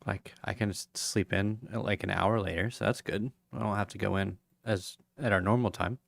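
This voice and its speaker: A tired man hosting a livestream, chatting casually in a rambling, halting way with lots of fillers, his voice a bit hoarse. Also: on the low side, steady tone, no room sound, clean studio sound. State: tired, yawning; voice going hoarse. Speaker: crypto livestream host. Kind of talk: casual livestream sign-off chat.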